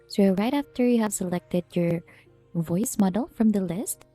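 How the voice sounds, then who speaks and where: A woman narrating a step-by-step software tutorial in an instructional way, with repetitive phrasing. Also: mid-range voice, measured pace. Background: background music.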